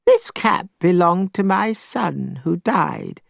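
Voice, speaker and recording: A man voicing a kindly old woman, a goddess in disguise, in a storybook reading, speaking gently and softly. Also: sits high, very animated, slow, gravelly, no echo, studio quality, voice pushed up, heavily acted. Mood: gentle.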